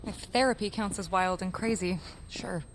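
A woman's voice: mid-range voice, moderate pace, noisy background, close to an everyday voice. A woman playing a weary, wry and guarded office worker with a sarcastic edge in an audio drama. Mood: dry, sarcastic. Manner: dry, sarcastic. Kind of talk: audio fiction acting.

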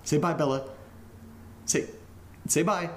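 A man's voice: a little high, fairly even intonation, slow, slight background noise. An actor playing a casual young man who documents his creepy new house in a found-footage horror audio drama, rambling informally with some swearing and self-mockery. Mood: cheerful, casual.

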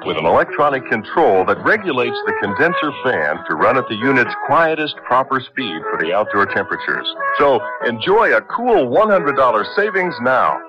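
A man's voice: mid-range voice, very animated, moderate pace, hardly any pauses. A man reading a scripted retail commercial for old-time radio. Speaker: commercial announcer. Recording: dull old radio recording with music.

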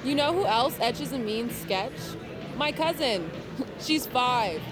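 An actress playing a sarcastic, deadpan young woman bantering in an audio drama, with music behind her. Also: fairly high pitch, takes it slowly. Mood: wry. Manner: wry, deadpan teasing. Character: sarcastic, unimpressed young woman among fans.

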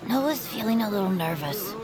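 A woman's voice: mid-range voice, lively intonation, moderate pace, over music, natural voice. A woman playing an eager, chatty, loyal sidekick in an audio fiction drama, with a breezy, blunt manner. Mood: encouraging.

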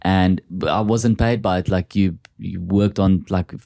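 A chatty man speaks with an Afrikaans accent, his voice sitting low and mellow. He hosts a relaxed conversation and tells long stories, laughing often as he goes. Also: a little slow, vocal fry. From South Africa. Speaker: host. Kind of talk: casual chat.

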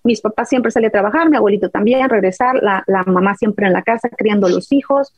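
Husky, hesitant woman from rural Mexico. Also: mid-range voice, quick pace, a little mellow, no room sound. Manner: hesitant, searching for words, many fillers. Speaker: health coach guest. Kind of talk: casual chat.

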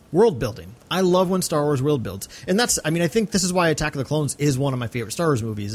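A man co-hosting a podcast, discussing film casually in an enthusiastic, emphatic way. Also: medium pitch. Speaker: podcast co-host.

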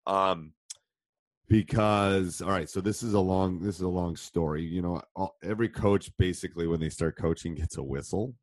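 A man in middle age with a very low, deep voice, roughened a little by a cold. He talks through coaching ideas in a loose, rambling way, stopping to restart his thoughts and filling the gaps with ums. The sound is dry and close, with no room around it. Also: moderate pace, dry recording. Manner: conversational, many ums and restarts. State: has a cold. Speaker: basketball coach. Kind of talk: live online coaching session answering questions. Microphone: handheld mic during live stream.

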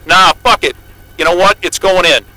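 A man's voice: high-pitched, moderate pace, pushed and bright, low-quality recording. A man acting in an audio drama as a defiant, foul-mouthed astrophysicist with a brash, skeptical manner. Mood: uneasy, resolved.

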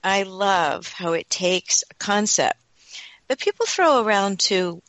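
A woman's voice: mid-range voice, expressive, slow pace, fairly bright tone, no echo. A woman hosting a radio talk show, speaking in an enthusiastic, earnest way.

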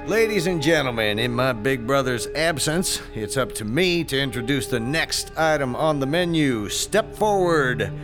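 A man's voice: mid-range voice, lively intonation, normal speed, few pauses, over music, natural voice. Man acting a suave, callous rich heir, swooping. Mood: mocking showmanship. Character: smooth, callous son of a rich powerful family. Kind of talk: audio drama acting.

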